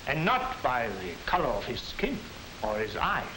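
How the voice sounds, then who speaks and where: An actor in a 1940s educational film playing a calm lecturer, speaking in a measured, didactic way. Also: male voice, mid-range voice. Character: calm man lecturing against racial myths. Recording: hissy 1940s film soundtrack.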